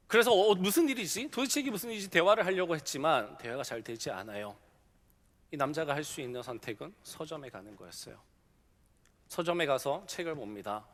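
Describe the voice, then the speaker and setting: A middle-aged male pastor preaching a sermon, telling a story and dropping from loud to hushed. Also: a little high. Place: large church sanctuary. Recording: long hall reverb.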